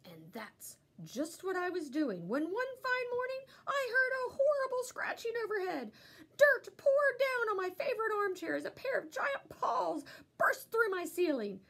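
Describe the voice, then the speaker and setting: A woman narrates a children's story as a teacher would, with expressive, engaged delivery. Her voice is high and very lively in pitch, with some creak and huskiness. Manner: animated, pausing to ask listeners questions. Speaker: elementary school teacher reading aloud.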